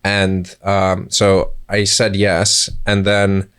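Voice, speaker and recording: A man with a low, level voice describes his crew work in a relaxed guest interview. He rambles and restarts as he explains, correcting himself along the way. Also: very slow, clean tone. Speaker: film crew member, first camera assistant. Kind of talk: casual interview answers.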